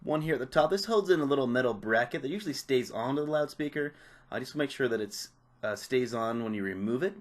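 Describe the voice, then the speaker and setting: A man's voice: mid-range voice, lively intonation, fast. A man presenting a repair tutorial, giving casual, informal step-by-step hands-on instructions. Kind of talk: casual hands-on how-to narration.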